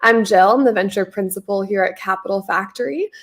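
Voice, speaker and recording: A woman's voice: medium pitch, a little fast. A young adult woman hosting a live online Q&A, upbeat and friendly, a little stuffy from a head cold, talking over a video call. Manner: upbeat, friendly, with frequent ums. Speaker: host, venture principal.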